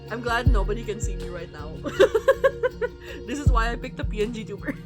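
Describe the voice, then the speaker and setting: Female game streamer, higher voice, unhurried read-aloud with giddy, dramatic reactions. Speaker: livestreamer. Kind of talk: reading a visual novel aloud with excited live reactions. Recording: music behind the voice. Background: game background music.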